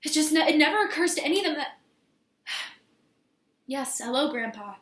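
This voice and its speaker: A woman performing a stage monologue as an earnest, flustered young woman in love, speaking haltingly with stammers. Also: sits high, animated, fairly bright tone. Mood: impassioned, earnest, then weary, exasperated. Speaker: amateur actor performing a monologue. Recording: echoey room with faint noise.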